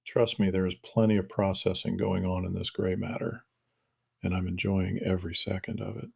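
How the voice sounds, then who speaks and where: Deep, husky flirtatious man, played by an actor. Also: level tone, moderate pace, darkish tone, dry recording, clean studio sound, heavily acted. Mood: teasing. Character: Roman, devoted, flirtatious, smooth-talking male lover. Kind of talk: intimate romantic role-play dialogue.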